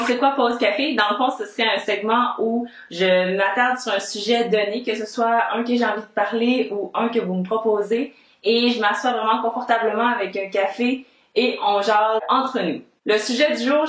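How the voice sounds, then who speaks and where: A woman's voice: fairly high pitch, fairly bright tone. A young woman with a Québécois French accent, chatting casually to camera as a book vlogger and laying out her reasons one by one. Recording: roomy echo.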